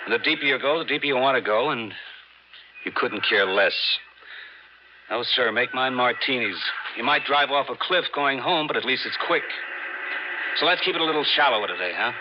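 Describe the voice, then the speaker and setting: An actor playing a breezy, wisecracking diving buddy who is wry and wary, in an old-time radio drama. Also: male voice, mid-range voice, animated, a little fast, lightly acted. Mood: wry, warning. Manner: jaunty, colloquial, wry. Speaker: supporting actor. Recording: muffled noisy old radio recording.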